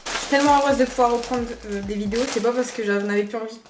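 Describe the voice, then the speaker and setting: A woman's voice: fairly high pitch, rapid-fire, reverberant, background noise. A woman with a cold chatting casually as she unboxes and tries on clothes, rambling and correcting herself. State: has a cold. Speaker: vlogger. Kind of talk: casual try-on haul chat.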